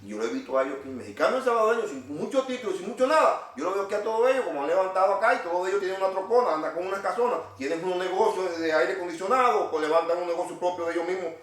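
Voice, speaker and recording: A man's voice: sits high, expressive, very fast, few pauses, a bit bright. A middle-aged man with a Cuban Spanish accent, ranting to camera in an indignant, scolding, repetitive way. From Cuba. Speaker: vlogger. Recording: echoey room, distant from mic.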